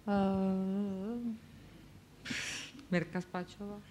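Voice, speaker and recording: A woman's voice: medium pitch, steady tone, drawn-out words, mellow. A woman moderating a press conference, calling on journalists. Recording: noisy room, clicks.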